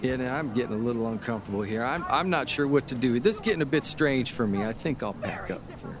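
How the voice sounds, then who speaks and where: An actor playing a mild, polite 1930s traveling newspaperman in a period audio drama, speaking in a halting, noncommittal way. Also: medium pitch, expressive, noisy background, lightly acted. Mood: uneasy. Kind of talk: period radio drama acting.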